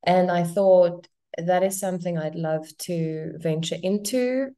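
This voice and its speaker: A South African woman whose first language is Afrikaans, talking as a guest on a podcast. Her voice sits fairly low, and she speaks thoughtfully, pausing and reworking her sentences as she goes. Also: mellow. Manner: thoughtful, circling back to rephrase. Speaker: guest actress. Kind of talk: casual podcast interview.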